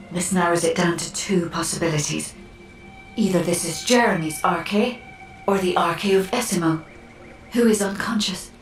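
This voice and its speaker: A woman playing a calm, analytical guide in a game, speaking formally, precisely and with detachment. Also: lowish voice, lightly acted. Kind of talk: scripted game dialogue. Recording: echoey, distant, music behind.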